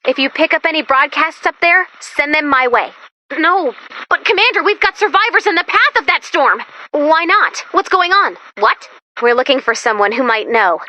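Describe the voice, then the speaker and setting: A woman voicing an excitable, anxious, sarcastic female robot helper in a video game, perky and dramatic in manner. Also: high voice, normal speed, poor audio. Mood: upbeat, urgent. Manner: perky, neurotic, self-deprecating, dramatic. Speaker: video game mission-giving sidekick. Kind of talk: animated video game voice acting.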